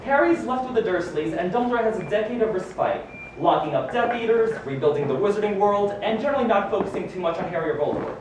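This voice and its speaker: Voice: mid-range voice, expressive, fast talker, hardly any pauses, lightly acted. A performer playing a wizard headmaster who reads his own biography aloud to a live gathering, with theatrical delivery and wry, amused asides. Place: live book launch party in a room. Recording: echoey noisy room, distant microphone.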